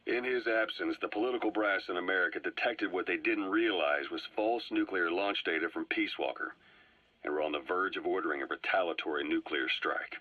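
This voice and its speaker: A husky, deep Soviet spy. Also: male voice, no echo. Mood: grave. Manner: measured, deliberate, with dramatic pauses. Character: sly, composed Soviet spy gunslinger, a measured storyteller. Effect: cassette tape filter. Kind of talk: scripted dramatic game dialogue, recounting history. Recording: dull, boomy cassette tape playback.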